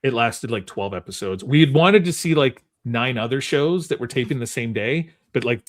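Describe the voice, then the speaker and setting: Lively man hosting, very dry sound. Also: mid-range voice, moderate pace, no room sound. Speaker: host of a film trivia game podcast. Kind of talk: casual chat.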